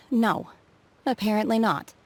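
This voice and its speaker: A woman playing an aloof, calm young woman in an English anime game dub, detached, measured and bluntly menacing. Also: mid-range voice. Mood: detached, frank.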